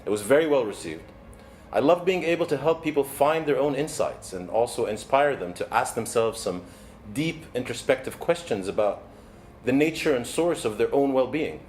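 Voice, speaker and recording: A man's voice: mid-range voice, expressive, moderate pace, darkish tone. Arabic-native man giving a talk, noisy recording. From Egypt. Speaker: keynote speaker and wellbeing coach. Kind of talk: motivational keynote talk. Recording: background noise, ambient sound.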